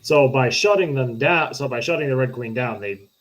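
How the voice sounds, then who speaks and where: A man with an average-pitched voice takes part in a casual review chat as a co-host. He lays out his opinions in a reasoned, measured way while keeping it conversational. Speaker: podcast co-host.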